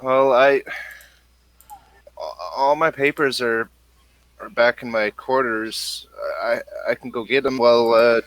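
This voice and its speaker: A man's voice: medium pitch, fairly even intonation, measured pace, long pauses, fairly bright tone, no room sound, voice pushed up, lightly acted, rough recording. A man voicing a nervous, flustered woman who hesitates and stammers, in a tabletop game. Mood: hesitant, nervous. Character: nervous, flustered young woman newly arrived at her quarters.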